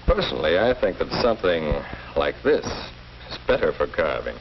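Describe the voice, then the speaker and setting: An actor playing a calm, firm middle-aged school principal in a 1940s educational film, speaking formally and in an avuncular, measured way. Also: male voice, extremely low pitch. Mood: calm, earnest. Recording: muffled, noisy old 1940s film soundtrack.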